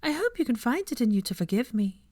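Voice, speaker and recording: A woman voicing a gentle, dreamy young woman with a soft, wistful way of speaking in a dramatic story reading. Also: fairly high pitch, sing-song, quick pace, no room sound, clean studio sound, voice pushed up. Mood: tearful, earnest.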